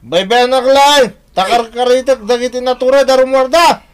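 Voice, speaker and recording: An actor playing a rich, bullying husband who shouts threats at his neighbors in an Ilocano radio drama. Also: very high-pitched, fairly quick, heavy background noise. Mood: furious, threatening. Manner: belligerent, shouting.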